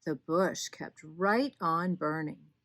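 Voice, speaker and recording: Female children's storyteller, slightly low voice, very unhurried, expressive bedtime read with dramatic emphasis. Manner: deliberate, repetitive, dramatic emphasis.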